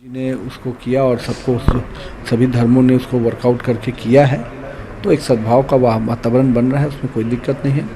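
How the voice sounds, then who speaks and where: A man's voice: medium pitch, level tone, normal speed. A man giving spontaneous remarks as an interviewee. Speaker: interviewee giving a press comment. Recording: faint ambient noise, field interview.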